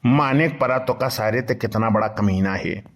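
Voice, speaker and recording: A man's voice: on the low side, moderate pace, voice pushed down, lightly acted. A man voicing a henpecked, resigned older village uncle in a cartoon, with an Awadhi accent. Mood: grumbling. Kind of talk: cartoon comedy dialogue.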